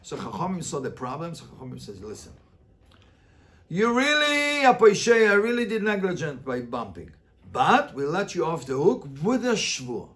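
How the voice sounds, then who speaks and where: A man's voice: on the high side, huge pitch swings, normal speed, a bit bright. A man teaching a Talmud class as a rabbi, reading and translating line by line with emphatic questions and repeated phrases. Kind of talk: interactive lesson, reading and translating a text line by line.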